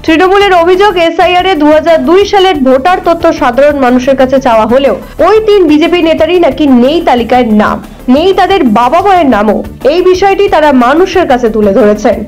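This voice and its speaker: Very high-pitched woman reading news. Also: animated, quick pace, a little mellow, faint music behind. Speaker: news presenter. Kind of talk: scripted news narration.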